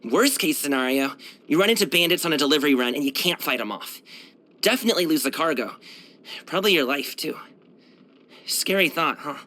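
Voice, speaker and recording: A man's voice: a little high, animated, fairly quick, long pauses, pushed and bright. A man voicing a brash, cheerful young martial arts dancer and guard in a video game, chatting casually in a slangy, boyish, self-assured way. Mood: cocky, playful. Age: young adult. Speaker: video game character voice actor. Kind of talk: casual, conversational game voice lines.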